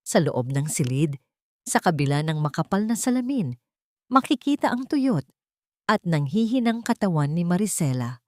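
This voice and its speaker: Woman reading a dramatic story as narrator, bit low pitched, really dry sound. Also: very animated, moderate pace, darkish tone, no echo.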